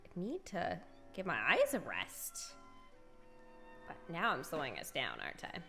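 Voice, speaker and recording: A woman's voice: lowish voice, wildly swooping pitch, unhurried, gravelly. A woman streaming a video game with casual, chatty, giggly commentary. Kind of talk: casual playthrough commentary and reading along. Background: soft game music.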